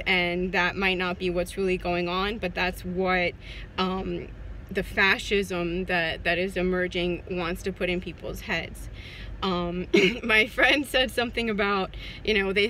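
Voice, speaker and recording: Woman, forties, casual phone-camera vlog, bright tone, hesitant with fillers, inside a car, faint street noise. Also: medium pitch. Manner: hesitant, many fillers and restarts. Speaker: vlogger. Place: parked car, indoors. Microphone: phone at arm's length.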